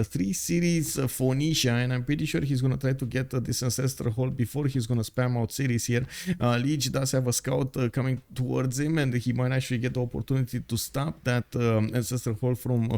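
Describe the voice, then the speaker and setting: A young man calls a strategy match live, his mid-range voice pausing often on fillers and restarting sentences. Now and then he breaks into sudden excited exclamations. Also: medium pitch. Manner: filler-heavy, halting, frequent uh and restarts. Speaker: game caster. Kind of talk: live game commentary.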